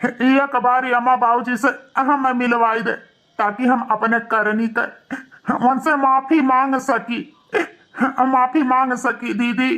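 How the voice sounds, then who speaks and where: Guy doing a guilty cartoon son w/ awadhi accent, super high bright voice, begging over and over. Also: level tone, slow pace, exaggerated voice. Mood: tearful pleading. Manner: pleading, repetitive. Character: remorseful grown son, pleading and guilt-ridden. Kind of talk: melodramatic moral cartoon voice acting.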